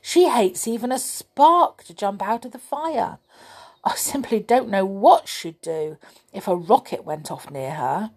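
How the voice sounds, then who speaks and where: A woman's voice: mid-range voice, measured pace. A woman voicing Jinky, an eager little village man from a storybook. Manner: excited, lively.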